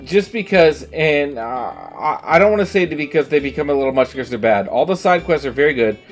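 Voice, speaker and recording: A man's voice: on the high side, expressive, fairly quick, faint music behind. A man chatting casually and emphatically on a livestream while playing a game, giving opinions with some swearing. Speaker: game streamer. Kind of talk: casual livestream chat over gameplay.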